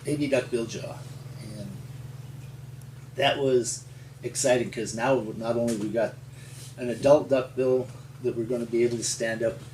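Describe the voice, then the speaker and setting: An older man from rural Montana with an American accent, telling his story in an interview in a halting, folksy, explanatory way. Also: medium pitch, steady tone, a little mellow. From rural Montana, United States. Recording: roomy, distant mic with faint hum.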